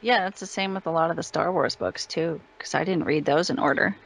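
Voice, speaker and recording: A woman's voice: sits low, fairly quick, creaky, crowd noise, rough recording. A woman giving a casual interview as a guest, cheerful and informal in manner. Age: adult parent of a young child.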